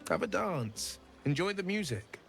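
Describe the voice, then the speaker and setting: Wry, melancholy devilish gentleman. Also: sits high, big pitch swings, unhurried, creaky, soft background music, exaggerated voice. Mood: wry, putting on cheer. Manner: courteous, wry, eloquent. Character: melancholy, self-deprecating horned devilish gentleman. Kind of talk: video game character acting.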